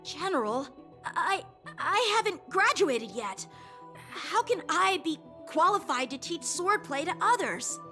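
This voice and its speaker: A woman's voice: very high-pitched, sing-song, unhurried, pushed and bright, faint music behind, exaggerated voice. A woman voicing a modest, earnest boy swordsman apprentice in a game, speaking respectfully. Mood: hesitant. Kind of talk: game cutscene voice acting.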